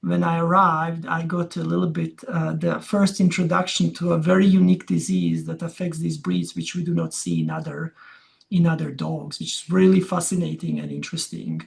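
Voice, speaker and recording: Middle-aged male academic, Croatian accent, heavy vocal fry, conversational and hesitant delivery for an informal talk. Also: fairly high pitch, level tone. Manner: halting, conversational, often checks with "you know" and "yeah". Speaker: veterinary dermatology researcher giving a talk. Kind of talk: informal slide presentation.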